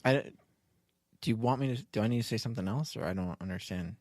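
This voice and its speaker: A man's voice: lowish voice, quick pace, background noise. A man co-hosting a comedy podcast in casual banter, playfully sarcastic and often feigning confusion or grievance. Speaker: podcast co-host.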